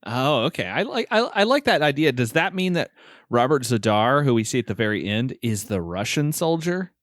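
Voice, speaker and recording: A man's voice: mid-range voice, big pitch swings, moderate pace, a bit bright, dry recording, studio quality. A man co-hosting a podcast, recapping a film in casual chat with an amused manner. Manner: amused, often chuckling through words. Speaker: podcast co-host.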